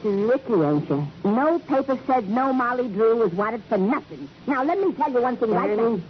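A woman's voice: on the high side, expressive, normal speed, close to an everyday voice. Woman playing a brassy, swooping skid row landlady. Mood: cagey, defiant. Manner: slangy, streetwise, cagey. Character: brassy, evasive skid row hotel proprietress. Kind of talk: classic radio crime drama acting. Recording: dull old radio recording, faint noise.

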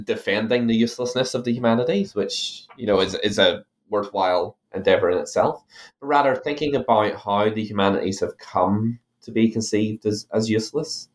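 A man's voice: lowish voice, darkish tone. A man with an Irish accent, an author explaining his ideas in an interview, thoughtful and full of fillers and restarts. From Ireland. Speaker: author guest. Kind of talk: academic interview.

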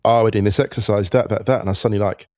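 A man in his forties co-hosting a podcast, croaky, laughing and self-deprecating in casual chat. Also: lowish voice, steady tone, very fast, darkish tone, no echo, soft background music. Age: 40s. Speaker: podcast co-host.

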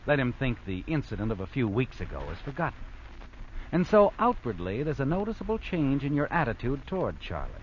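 A man's voice: mid-range voice, sing-song, a little fast, noisy background, lightly acted. A man acting as the ominous, insinuating narrator of an old-time radio mystery, addressing the schemer as you. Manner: dramatic, insinuating. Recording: muffled old radio recording with music.

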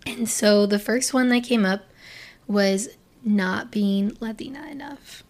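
A woman's voice: medium pitch, slow, darkish tone. Chatty young woman rambling to camera. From Florida, US. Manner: chatty, rambling, many "like" fillers and restarts. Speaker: vlogger. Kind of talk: casual personal talk to camera.

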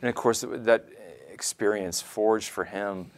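A man with a very low-pitched voice hosts a conversational interview. He poses questions and adds reflective commentary of his own in an informal, talking style. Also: steady tone, a little slow. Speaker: interview host.

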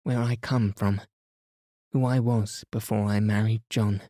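A reserved young Englishwoman speaks in measured, composed sentences, her manner grave and quietly authoritative. The tone is dark and mellow, and the recording is very dry and close, as a man reading the story puts her on. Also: lowish voice, steady tone, dry recording. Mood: confiding.

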